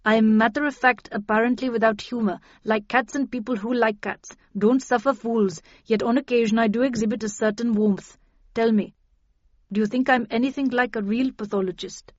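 A narrator puts on a middle-aged woman pathologist for an audiobook. She is brisk and humourless, intense with a faint melancholy. The sound is very dry and a little dull. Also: fairly high pitch, steady tone, no room sound, natural voice.

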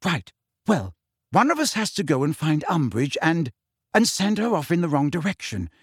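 A clever teenage witch girl, bossy and anxious, pleads and argues fiercely, as a man reading a storybook puts her on. The voice sits high and moves a lot. The recording is close and dry. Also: very animated, moderate pace, husky, dry recording.

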